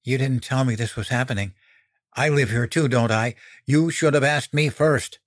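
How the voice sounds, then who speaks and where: Male narrator as angry hurt boy, higher pitch, defiant, agitated, accusing, audiobook drama. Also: normal speed, no room sound, clean studio sound, close to an everyday voice. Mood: indignant. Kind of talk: dramatic audiobook character acting.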